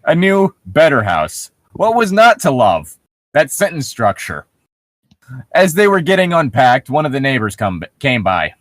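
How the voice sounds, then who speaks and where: A man's voice: medium pitch, huge pitch swings, unhurried, a bit bright, dry recording. A sarcastic young man joking and reading casually as co-host of a comedy story reading.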